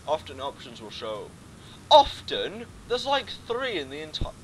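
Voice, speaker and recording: A man's voice: wildly swooping pitch, a little slow, slight background noise, low-quality recording. A young British man casually chatting and joking while playing a game with a friend. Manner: giggly, jokey, swings in pitch. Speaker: let's play co-host. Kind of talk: casual gaming commentary.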